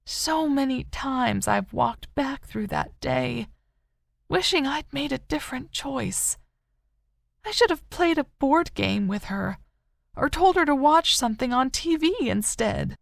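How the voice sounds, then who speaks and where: A woman narrator voicing an older mother, grieving and wistful yet still hopeful, with a soft, sorrowful, tender manner in an audiobook. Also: sits high, expressive, measured pace, vocal fry, no room sound, studio quality, voice pushed up, heavily acted. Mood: guilty, regretful.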